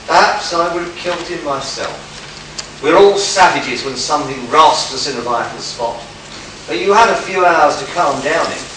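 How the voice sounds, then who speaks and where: A man's voice: a little high, normal speed, sharp tone. A man playing a cool, taunting, tough hero in an amateur radio play, heard from far off in an echoey room. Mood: measured. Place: live convention stage in echoey room. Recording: very echoey hall, far-off mic, faint hiss.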